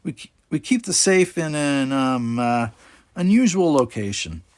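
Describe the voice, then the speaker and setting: A man's voice: medium pitch, expressive, a little slow, voice pushed up, natural voice. A man voicing a cocky, cheerful teenage boy captain in a sci-fi storybook, talking in a breezy, glib way.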